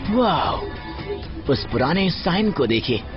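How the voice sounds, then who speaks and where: Cocky, high-pitched young monkey hero. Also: male voice, slow pace. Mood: cheeky. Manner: boastful, excitable, cheeky. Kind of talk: animated film dub acting. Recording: dull lo-fi audio under music.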